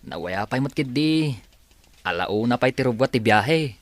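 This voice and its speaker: An actor playing an earnest, hardworking young farm worker in a radio drama. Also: male voice, mid-range voice, lively intonation, a little slow, no room sound, lightly acted. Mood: reassuring.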